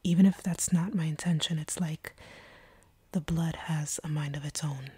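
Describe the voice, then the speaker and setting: A woman performs as an ancient female vampire in an intimate audio roleplay. Her voice is a dark, very breathy whisper, husky and close in a dry recording. She speaks in a deliberate, hushed way, a stern captor who is softening. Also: low voice, steady tone, dry recording. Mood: hushed, confiding.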